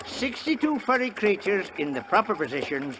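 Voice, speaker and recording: High-pitched, lilting Irish rogue. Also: male voice, sing-song, moderate pace. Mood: boastful, jovial. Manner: blustery, theatrical, flowery phrasing. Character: roguish, talkative Irish cat trader in the Old West. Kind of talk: 1950s radio Western acting. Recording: noisy vintage 1950s radio recording.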